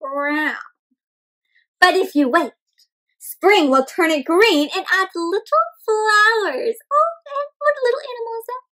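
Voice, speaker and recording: A young woman reading a picture book aloud to young children in an animated, expressive way, often putting questions to the listeners. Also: very high-pitched, a little slow, low-quality recording. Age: young adult. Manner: animated, questioning, addressing young viewers as friends; exaggerated expressive delivery with gasps and stretched words. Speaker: children's read-aloud storyteller. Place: indoor room with houseplants.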